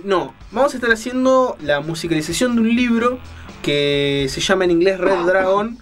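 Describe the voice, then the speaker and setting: A man with a Rioplatense Spanish accent co-hosting a radio show in casual talk. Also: high voice. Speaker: radio co-host.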